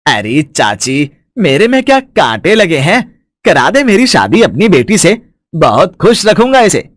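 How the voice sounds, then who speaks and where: An actor playing a shameless, lecherous young village villain in a storybook audio drama, with a leering, taunting way of talking. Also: male voice, sits high, huge pitch swings, unhurried, fairly bright tone, no room sound, clean studio sound, heavily acted. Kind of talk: acted audio story dialogue.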